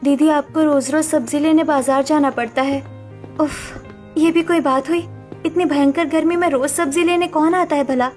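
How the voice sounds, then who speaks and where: A woman's voice: high voice, fairly even intonation, normal speed, darkish tone, soft background music, voice pushed up, close to an everyday voice. A woman voicing a pampered young daughter-in-law who complains and pleads in a raised, whiny voice. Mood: complaining.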